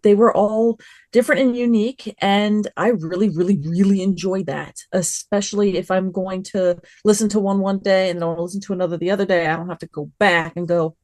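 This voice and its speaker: A woman's voice: lowish voice, darkish tone, no echo, clean studio sound. A woman giving a casual podcast review as a panelist, talking emphatically and acting out little imagined quotes.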